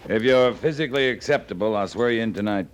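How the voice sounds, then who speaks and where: An actor playing a terse, stern, skeptical cavalry captain in an old radio western. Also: male voice, lowish voice, quick pace, lightly acted. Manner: terse, authoritative. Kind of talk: 1950s radio drama acting. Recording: old radio recording with faint noise.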